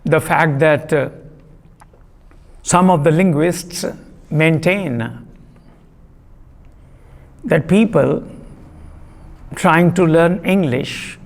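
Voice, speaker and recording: A man giving a classroom lecture on literary theory, deliberate and repetitive, pausing with fillers. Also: fairly high pitch, vocal fry, in a large hall. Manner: deliberate, repeats key terms, frequent uh fillers.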